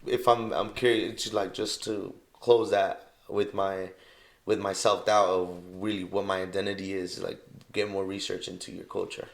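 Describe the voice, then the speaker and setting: A man's voice: low voice, level tone, moderate pace, gravelly. A man hosting a podcast, chatting in a laid-back, joking way. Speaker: podcast host. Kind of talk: casual chat.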